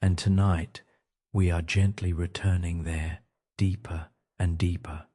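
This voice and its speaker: Very deep, slow-spoken man guiding sleep meditation. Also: flat delivery. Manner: slow, gentle, soothing delivery with pauses. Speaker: meditation guide. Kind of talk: guided sleep meditation narration.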